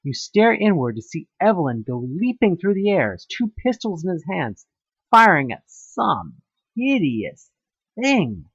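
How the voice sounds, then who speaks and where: A man's voice: high voice, big pitch swings, unhurried, breathy edge, no echo, muffled recording. A man reading a scripted second-person story as a narrator, with a theatrical, emphatic delivery that draws out words. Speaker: audio play narrator.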